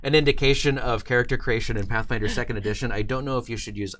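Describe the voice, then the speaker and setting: Guy hosting a live stream, average pitch, casual chat, kinda hesitant and thinking out loud. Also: steady tone, quick pace, slight background noise. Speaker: stream host and behind-the-scenes producer.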